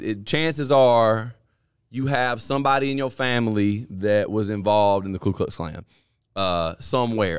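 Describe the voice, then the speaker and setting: A man's voice: on the low side, smooth, no room sound, studio quality. A man hosting a casual podcast chat, talking candidly and at length, often restarting and repeating words as he explains. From Deep South US. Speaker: podcast host.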